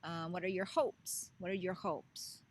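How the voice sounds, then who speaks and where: A woman with a fairly low voice joins a casual book club chat. She is relaxed and affirming, with blunt, plain wording, and she often repeats a phrase for weight. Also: unhurried, dry recording. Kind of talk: casual podcast discussion.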